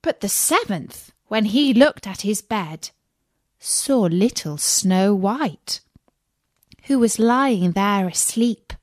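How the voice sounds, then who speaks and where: A woman narrating a scripted children's audiobook as an expressive, measured storyteller. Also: medium pitch, no echo, studio quality.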